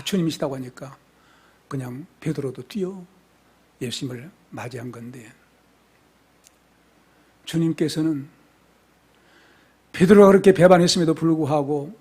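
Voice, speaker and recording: A male pastor preaching an expository sermon in a church, swinging from soft, hushed phrases to loud, fervent exclamations. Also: a little high, husky. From South Korea. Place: church sanctuary.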